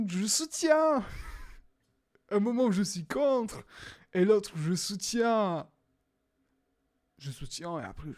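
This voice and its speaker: A young man casually reacting to videos, hesitant with many fillers, sometimes bursting into loud exclaiming. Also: high-pitched. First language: not English.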